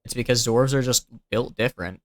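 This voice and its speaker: A man's voice: medium pitch, brisk, dry recording. A young man streaming a game, chatting casually and rambling as he thinks aloud, with a youthful-sounding voice. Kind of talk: casual gameplay commentary.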